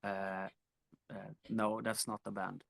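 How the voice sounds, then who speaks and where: Band musician guest, speaks slowly and hesitantly, lots of "uh". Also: male voice, lowish voice, flat delivery, gravelly, fairly bright tone, dry recording, clean studio sound. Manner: hesitant, many fillers. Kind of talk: casual interview.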